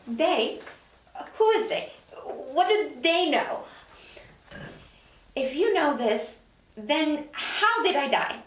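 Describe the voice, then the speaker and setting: A woman's voice: sits high, sing-song, drawn-out words, pauses often, a bit bright, full character voice. A woman playing an incredulous, insistent newly dead woman of forty at a drama table read. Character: indignant, disbelieving forty-year-old woman newly dead. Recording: roomy echo, distant mic, faint noise.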